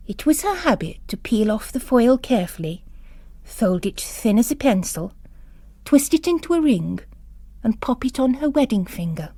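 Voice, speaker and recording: A woman narrating literary fiction in a dramatic, expressive, deliberate way. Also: on the high side, dry recording.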